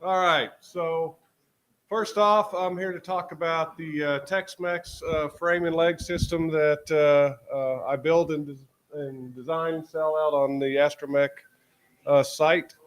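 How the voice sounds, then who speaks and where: A man's voice: fairly high pitch, fairly even intonation, slow. A man from Texas giving a casual talk at a convention, with lots of fillers and restarts. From Texas, USA. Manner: chatty, many fillers and restarts. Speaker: convention speaker. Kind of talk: casual conference presentation. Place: convention hall stage.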